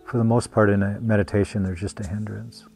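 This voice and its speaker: Low, even-voiced man guiding meditation. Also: creaky, faint music behind. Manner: gentle, unhurried with long pauses. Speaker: meditation teacher. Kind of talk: guided meditation. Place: meditation retreat.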